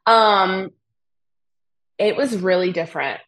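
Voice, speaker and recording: A woman's voice: medium pitch, slow, fairly bright tone. A young American woman chatting casually as a podcast guest and explaining things from her own life in a conversational way. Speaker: podcast guest, fitness coach.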